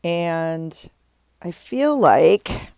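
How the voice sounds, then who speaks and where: A woman's voice: lowish voice, lively intonation, drawn-out words, vocal fry, darkish tone, no echo, studio quality. A middle-aged woman with a stuffy nose, chatting casually as she works on a craft project, thinking aloud with many ums and restarts. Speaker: craft vlogger.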